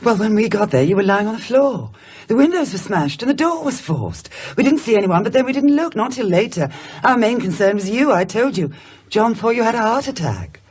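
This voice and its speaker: Brisk, husky, practical woman. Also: a little high, sing-song, darkish tone. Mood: matter-of-fact. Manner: brisk, matter-of-fact. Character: brisk, practical, caring woman tending a patient. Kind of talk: naturalistic radio horror drama acting.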